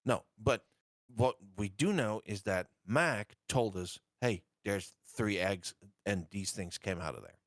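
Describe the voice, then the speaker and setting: A man's voice: fairly low pitch, animated, takes it slowly, dry recording, clean studio sound. A man playing a tabletop game, cautious and reasoning questions through aloud. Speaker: player. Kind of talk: casual tabletop play talk.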